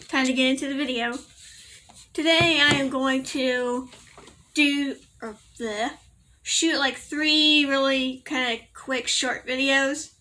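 A young woman in a casual home vlog, rambling and giggling as she corrects herself, her voice a little raspy from allergies. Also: high-pitched, fairly even intonation, slow, sharp tone, slight background noise. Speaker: vlogger. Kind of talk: casual product review to camera. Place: small bedroom indoors.

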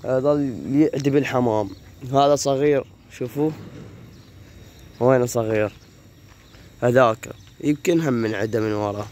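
A hoarse man with an Iraqi Arabic accent, chatting casually to viewers while filming his rooftop pigeon loft on a phone. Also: mid-range voice, darkish tone. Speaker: pigeon keeper vlogger. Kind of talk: casual vlog. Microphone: phone camera held while walking.

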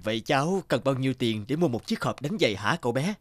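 A man's voice: medium pitch, animated, quick pace, no room sound, studio quality, close to an everyday voice. An actor playing a big, sceptical cartoon businessman who bargains in a condescending manner. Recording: music behind the voice.

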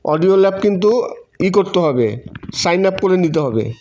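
A man's voice: sits high, lively intonation, moderate pace, darkish tone. A Bengali man giving step-by-step tutorial narration in a repetitive, instructional manner. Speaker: tutorial presenter. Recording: dull, muffled lo-fi voice-over.

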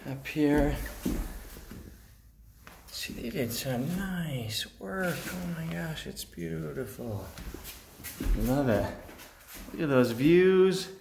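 An American man around his forties giving a casual, enthusiastic video tour in an informal, admiring way, holding a phone at arm's length. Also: mid-range voice. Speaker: vlogger giving a workshop tour. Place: mostly inside an empty unfinished workshop building. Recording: echoey empty room, clear background noise.

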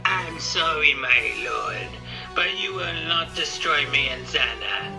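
A man voicing a sinister hooded dark lord in a cartoon parody, with drawn-out, ominous, formal delivery. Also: fairly high pitch, animated, over music, poor audio. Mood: cold, triumphant. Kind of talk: fan-made cartoon parody voice acting.

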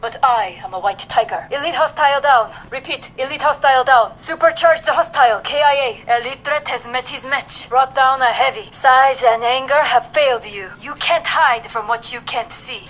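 Bright, forceful Korean soldier. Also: female voice, sits high, slight background noise, poor audio. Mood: fierce, triumphant, then grimly satisfied. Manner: clipped, terse military delivery. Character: tough, disciplined female Korean special forces soldier, cool and confident. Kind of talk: video game combat voice lines.

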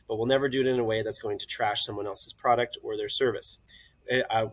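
A young man who runs a hot tub shop, talking casually at his computer through a screen-share tutorial with lots of "uh" fillers. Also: medium pitch. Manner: relaxed and friendly, many "uh" fillers. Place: indoors, recording at a computer.

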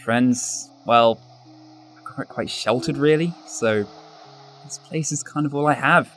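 An actor playing a self-deprecating, sheltered young exchange student with an English accent, joking and apologetic, in a radio drama. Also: male voice, medium pitch, a little slow, a bit bright, soft background music, close to an everyday voice. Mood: wistful, frustrated.

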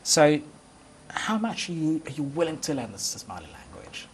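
A man's voice: a little high, very animated, slight background noise. Man hosting a TV interview. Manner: measured, mixes languages in questions. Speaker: TV host. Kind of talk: studio interview. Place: dark television studio, seated.

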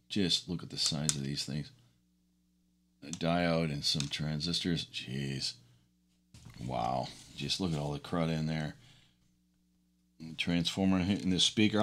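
A man's voice: rumbling low, a bit bright, slight background noise. A middle-aged man giving casual, unscripted hands-on commentary as a hobbyist host, rambling along with enthusiasm. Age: middle-aged or older. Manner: rambling, enthusiastic, chuckles often. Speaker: hobbyist host of a teardown video. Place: indoors at a workbench.

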